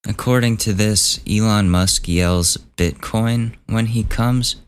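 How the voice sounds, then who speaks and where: A man hosting a solo podcast, losing his voice and hoarse, casually reading out gossip items and swearing as he thinks aloud. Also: fairly low pitch, takes it slowly. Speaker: podcast host.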